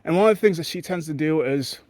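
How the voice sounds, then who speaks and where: A man's voice: fast, darkish tone, dry recording. Blunt, profane man ranting to camera. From Haiti. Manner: blunt, profane and rambling, with sudden jumps in pitch. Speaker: vlogger. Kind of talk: candid ranting monologue.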